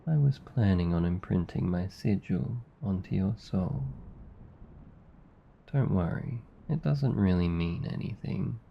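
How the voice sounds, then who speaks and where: A man with an Australian accent playing a gentle, affectionate, protective demon in an intimate, soothing ASMR roleplay. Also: low-pitched, gravelly, breathy edge, lo-fi sound, full character voice. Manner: soft, intimate, soothing, teasing. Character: gentle, affectionate male sleep paralysis demon, protective comforter.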